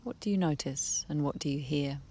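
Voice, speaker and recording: A woman leading a guided meditation in a soft, breathy voice, speaking gently with long unhurried pauses. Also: deep voice. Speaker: guided relaxation teacher. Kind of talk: guided meditation, soothing slow instruction. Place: outdoors beside a harbour. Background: birdsong, trickling stream, breeze.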